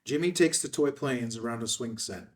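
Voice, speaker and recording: Male screenplay narrator, average pitch, a touch off-mic, reading scripted action lines. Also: normal speed, distant mic. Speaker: stage-direction narrator.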